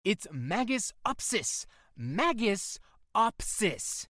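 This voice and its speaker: A woman playing an excitable fifteen-year-old boy comic geek in an audio drama, eager to explain and easily annoyed. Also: medium pitch, drawn-out words, no room sound, studio quality, heavily acted. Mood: annoyed, whiny. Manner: eager explaining, whiny when teased.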